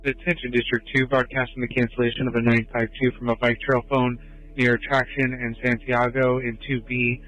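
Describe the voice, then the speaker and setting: A man's voice: mid-range voice, flat delivery, a little fast, fairly bright tone, poor audio. A man dispatching over police radio, reading out broadcasts in a matter-of-fact, procedural way. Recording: police scanner with faint music.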